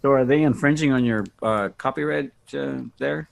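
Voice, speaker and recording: A man in a casual technical discussion on a video call, explaining things a bit haltingly. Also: mid-range voice, gravelly. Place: online video meeting.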